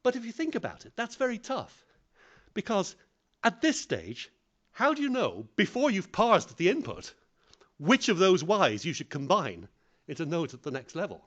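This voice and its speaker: A man's voice: high-pitched, huge pitch swings, normal speed, fairly bright tone, highs cut off. A man giving a conference talk on public speaking, self-deprecating and plainly phrased. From English-speaking country.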